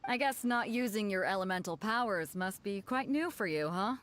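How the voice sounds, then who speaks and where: A woman as a self-assured, teasing captain, mid-pitched. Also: lively intonation, normal speed, a bit bright, dry recording, natural voice. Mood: knowing, amused. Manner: self-assured, frank, playful. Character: confident, teasing woman, seasoned tournament captain. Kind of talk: video game character dialogue.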